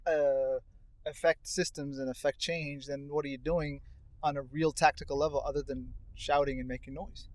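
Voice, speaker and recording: Male co-host, slightly high voice, conversational, pressing with questions. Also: steady tone, moderate pace, a little mellow. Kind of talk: casual conversational discussion. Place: inside a car.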